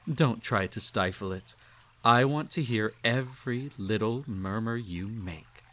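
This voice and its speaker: Guy playing a mean possessive emperor for roleplay audio, talks real slow and lowish, silky, snobby and condescending. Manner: haughty, condescending, drawn-out teasing delivery with soft chuckles. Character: cruel possessive emperor, condescending, silkily indulgent, menacing. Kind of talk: roleplay audio acting, intimate second-person monologue.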